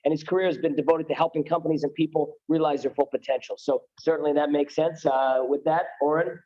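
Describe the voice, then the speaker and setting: A man hosting a community event, briskly reading a prepared introduction of the next speaker. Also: a little high, steady tone. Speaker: event organizer and moderator. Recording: muffled, dull event stream.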